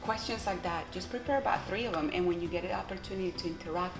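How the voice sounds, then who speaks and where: A middle-aged woman with a Guatemalan Spanish accent speaks in a fairly low voice, earnest and encouraging as she lays out her advice one point at a time. Her words ring in an echoey room, and music plays clearly underneath. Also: quick pace. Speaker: pastor, interview guest. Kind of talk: earnest interview answers.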